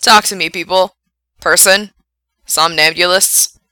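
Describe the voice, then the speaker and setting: Woman voicing an excitable, loud young male radio DJ. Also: fairly low pitch, unhurried, clear, resonant tone, no room sound, clean studio sound. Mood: upbeat, projecting. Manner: enthusiastic, teasing, opinionated. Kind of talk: radio DJ patter in an audiobook story.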